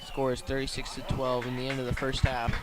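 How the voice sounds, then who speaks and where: A man giving live radio play-by-play commentary outdoors at a football game, in an even delivery. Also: mid-range voice, a little fast, noisy background. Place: outdoors at a high school football field.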